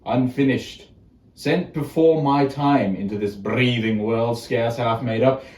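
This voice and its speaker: Bitter, deformed royal schemer for a Shakespeare soliloquy, played by a man: declamatory, very unhurried, theatrical, in an echoing room. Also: mid-range voice, lightly acted. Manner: declamatory, slow with dramatic pauses and swings. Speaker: amateur Shakespeare performer. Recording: roomy, echoing space.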